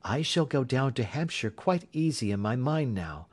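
A man reading an audiobook, voicing a brisk young woman governess who talks in a quick and earnest way. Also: mid-range voice, animated, darkish tone, slightly rough, pitched above the performer's own voice. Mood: relieved.

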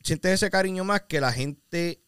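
A man's voice: high voice, measured pace, a bit bright, clear, resonant tone, no echo, clean studio sound. A Puerto Rican man hosting a podcast, rambling and teasing in casual banter with many restarts. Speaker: podcast host.

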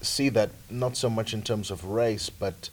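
Lower-voiced man, reflective panelist in a relaxed podcast discussion. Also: a little slow. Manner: thoughtful, weighs both sides. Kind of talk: casual panel chat.

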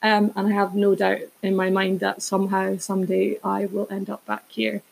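A woman's voice: medium pitch, monotone, normal speed, gravelly, darkish tone, breathy edge, low-quality recording. A woman, chatting casually as a solo podcast host in a rambling way with self-aware asides. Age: 20s.

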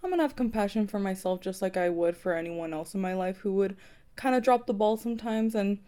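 A woman's voice: medium pitch, fast talker, mellow. A young woman co-hosting a casual podcast, talking earnestly and encouragingly, with the odd swear word. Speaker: podcast co-host. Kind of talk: casual chat.

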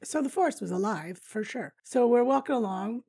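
Woman, 50s, raspy, husky, podcast host, chatty travel storytelling. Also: fairly high pitch, lively intonation, fairly quick, warm tone, no echo, studio quality. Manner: chatty, rambling, laughs often.

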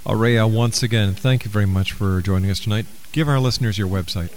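A man hosting a radio talk show, speaking in a deliberate, earnest way. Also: lowish voice, a little mellow. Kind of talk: radio interview and show announcements.

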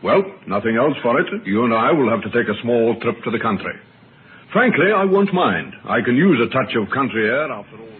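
A man acting a brisk, affable police inspector in an old-time radio drama. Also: mid-range voice, animated, natural voice. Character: brisk, affable male Scotland Yard inspector. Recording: tinny, hissy old radio broadcast.

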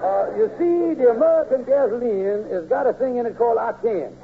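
A man's voice: very high voice, animated, a little fast, vocal fry, exaggerated voice. A man playing a scheming, grandiose con man with pompous, folksy, ungrammatical speech in a 1940s radio comedy. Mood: smooth bluffing. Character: scheming, fast-talking male con man, blustering and grandiose. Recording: old tinny narrow-band radio, hissy hum.

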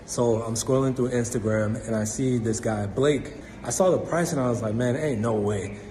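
A man's voice: mid-range voice, moderate pace, mellow, slight background noise, low-quality recording. Man giving a casual testimonial. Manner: casual, storytelling. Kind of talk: customer testimonial.

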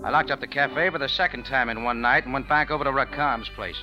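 An actor in a 1940s radio drama playing a tough, wry, unruffled cafe owner who narrates in a clipped, hard-boiled manner, on an old radio recording. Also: male voice, mid-range voice, fast, highs cut off, over music, lightly acted. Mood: hard-boiled, narrating.

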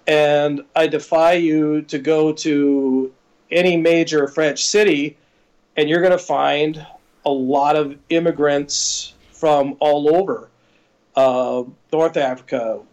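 Slow-talking guy on a sports show, kinda high voice, blunt, lots of uhs. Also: fairly even intonation, a little mellow, low-quality recording. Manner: deliberate, blunt, hesitant fillers. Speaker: podcast co-host. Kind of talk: sports opinion talk.

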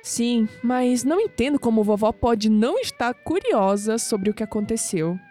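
An actress playing a curious, headstrong young granddaughter in an audio drama, expressive and with a Brazilian accent. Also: female voice, fairly high pitch, moderate pace, soft background music, lightly acted. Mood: eager. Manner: expressive, thinking aloud.

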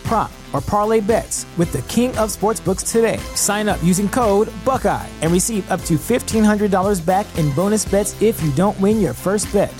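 Upbeat ad read, mid-pitched announcer, faint music bed. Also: normal speed, few pauses, darkish tone. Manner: upbeat, polished delivery. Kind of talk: scripted ad read.

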